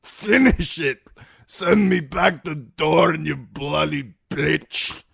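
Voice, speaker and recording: A man voicing a dying male spearman who shouts in strained rage. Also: a little high, drawn-out words, gravelly, breathy edge, no echo, studio quality, voice pushed up, full character voice. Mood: raging.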